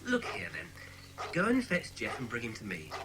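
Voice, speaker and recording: A man playing a sceptical, patient but condescending British father in a children's audiobook drama, speaking in a measured way. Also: medium pitch, wildly swooping pitch, noisy background. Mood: dismissive.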